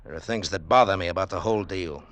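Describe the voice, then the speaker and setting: Low, hard-boiled radio adventurer. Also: male voice, quick pace, a bit bright, no room sound. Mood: wary. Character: hard-boiled, wry male adventurer narrating his tale. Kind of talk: 1940s radio acting and first-person narration. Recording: noisy vintage radio recording.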